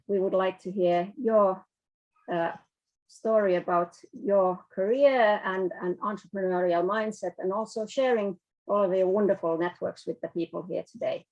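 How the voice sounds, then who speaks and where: A woman's voice: mid-range voice, measured pace, darkish tone, highs cut off. A woman hosting an event, making upbeat and encouraging announcements before handing over to the speakers. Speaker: event host.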